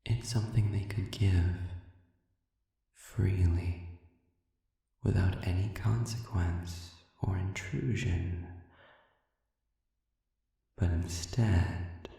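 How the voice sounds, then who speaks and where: Casting: male actor as sadistic demon for audio roleplay, dark mellow low voice, deliberate and coaxing, in a cavernous reverberant space. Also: steady tone, distant mic, full character voice. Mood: measured, feigned contrition. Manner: slow, deliberate, breathy murmur with long pauses. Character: sadistic manipulative male demon, smooth-talking and falsely humble. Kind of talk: intimate audio roleplay monologue. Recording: cavernous reverb, voice set back.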